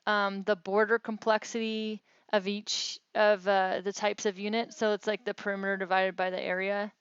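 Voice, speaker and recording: A woman's voice: medium pitch, level tone, normal speed, smooth, no room sound. A slightly muffled, dry phone call recording carries a woman's voice with very little rise and fall. She talks casually through technical details, like a researcher walking a colleague through her work. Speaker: researcher explaining mapping metrics.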